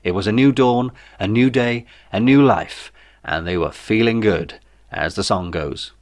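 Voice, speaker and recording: A man's voice: on the low side, a little slow, no echo, studio quality. A man reading a comic novel aloud as its narrator, with a wry, theatrical delivery. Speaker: author reading his own novel. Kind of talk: comic scripted audiobook narration.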